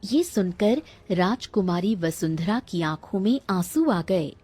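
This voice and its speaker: A woman's voice: medium pitch, lively intonation, slow pace, darkish tone, slightly rough, soft background music. A woman storyteller giving scripted narration of a fairy tale.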